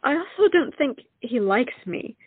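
A woman's voice: high voice, big pitch swings, normal speed, breathy edge, phone-quality sound, exaggerated voice. An actress playing a genteel, proper and coy young colonial lady in a stage comedy. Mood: coy, protesting.

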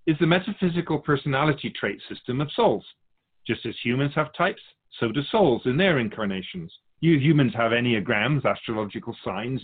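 A man playing a patient, lofty spirit guide who teaches in measured, formal phrases in a scripted audio drama. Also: medium pitch, husky, phone-quality sound. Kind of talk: scripted dialogue in a fiction podcast.

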